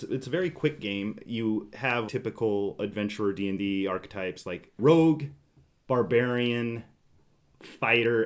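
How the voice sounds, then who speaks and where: A man with a fairly low voice hosts a board game podcast. His intonation moves around a lot, and he talks casually, mixing explanation with teasing jokes. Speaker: podcast host.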